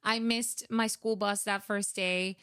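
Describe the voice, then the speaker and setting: Young woman, smooth clear voice, dry close sound, chatty with lots of fillers. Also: mid-range voice, steady tone, slow, fairly bright tone, no room sound. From Venezuela. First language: Spanish. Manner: chatty, many fillers, acts out her own past thoughts. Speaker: guest. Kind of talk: casual interview storytelling.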